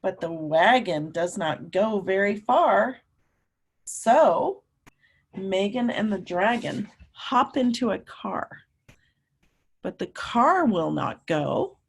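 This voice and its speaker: A woman teacher reading a picture book aloud to young children, animated and emphatic, often putting questions to her listeners. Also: medium pitch, slightly rough. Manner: animated, emphatic, drawn-out words, coaxing young children along.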